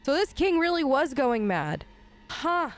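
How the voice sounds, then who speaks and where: A woman hosting a role-play let's play, chatty and expressive in her gameplay commentary. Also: high voice, unhurried, faint music behind.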